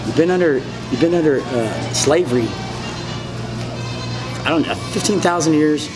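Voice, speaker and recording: Interview guest, man in his 40s, slightly high voice, emphatic and repetitive, restaurant booth with music playing. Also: normal speed, poor audio. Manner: emphatic, repetitive, gestures broadly while talking. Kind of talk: rambling monologue, telling his story.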